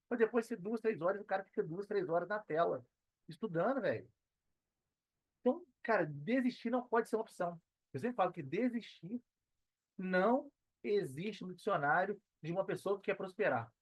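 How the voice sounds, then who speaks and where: A man's voice: high voice, a little fast, no echo, lo-fi sound. Brazilian male podcast participant. Casual motivational chat, emphatic, repetitive slangy phrasing, dry recording. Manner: emphatic, slangy, repeats himself.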